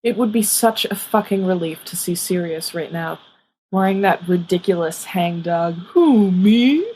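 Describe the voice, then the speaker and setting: A woman's voice: on the low side, fairly even intonation, normal speed, a little mellow, slight background noise, poor audio. A woman reading fiction aloud as scripted audiobook narration. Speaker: audiobook reader.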